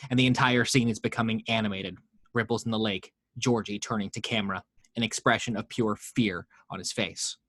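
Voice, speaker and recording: A man's voice: lowish voice, fairly even intonation, brisk, a bit bright, no echo. A man reading the action lines of a screenplay aloud at a home table read, steadily, voicing the sound effects. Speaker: narrator reading action description.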